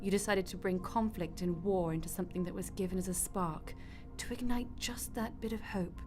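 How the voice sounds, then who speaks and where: A woman's voice: mid-range voice, fairly quick, over music, close to an everyday voice. A woman acting in a radio drama as a breezy, glib God who runs the afterlife with chatty, flippant matter-of-factness. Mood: matter-of-fact explaining.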